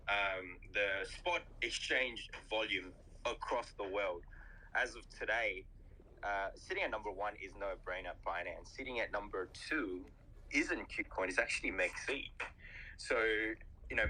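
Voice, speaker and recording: A man with a low, bright, forward voice explains things with easy confidence. A faint ambient hiss sits behind him. He sounds like a host in an interview. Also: low-quality recording. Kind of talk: conversational interview.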